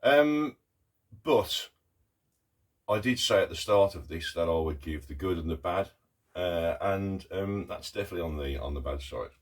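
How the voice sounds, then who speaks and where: Middle-aged man vlogging, very low husky voice with a croak from a cough, halting and earnest with many pauses. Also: expressive. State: hoarse with a cough. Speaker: artist vlogger. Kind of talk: casual talk to camera.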